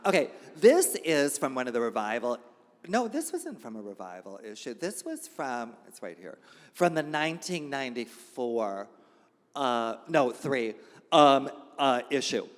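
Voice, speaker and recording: A man's voice: medium pitch, very animated, unhurried. Man hosting in a reverberant hall. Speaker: host and reader at a live reading event. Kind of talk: live event hosting and reading of a satirical editorial. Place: indoor gallery event space. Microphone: handheld event microphone. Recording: reverberant hall live recording.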